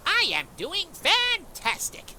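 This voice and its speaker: A woman's voice: extremely high pitch, huge pitch swings, slow, pushed and bright, slight background noise, full character voice. A woman playing an upbeat, self-assured, theatrical character in an audio drama with showy, emphatic delivery.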